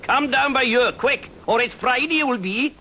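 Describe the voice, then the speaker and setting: A man voicing a great red dragon, cheeky and threatening, with a high, lilting sing-song Welsh accent. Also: normal speed, sharp tone, slight background noise, heavily acted. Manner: lilting, indignant.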